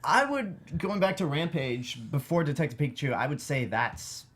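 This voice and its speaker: A man's voice: on the high side, sing-song, moderate pace, fairly bright tone, a little hiss or hum. A man chatting informally as a panelist about movies. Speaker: film review panelist. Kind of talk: casual film discussion.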